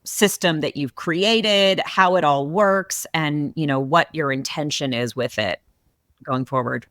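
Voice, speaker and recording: A woman with a big, low voice hosts a casual podcast and talks with bright, forceful energy. She tells her stories expressively, and the sound is dry and close. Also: a little slow, no echo. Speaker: podcast host.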